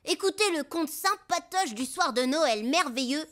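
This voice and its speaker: A woman voicing an excitable, mischievous young boy who tells rhymed tales theatrically in a cartoon. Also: high-pitched, moderate pace, no echo, studio quality. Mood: theatrical storytelling. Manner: theatrical, cheeky, sing-song rhyming. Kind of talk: animated cartoon dub, rhymed storytelling.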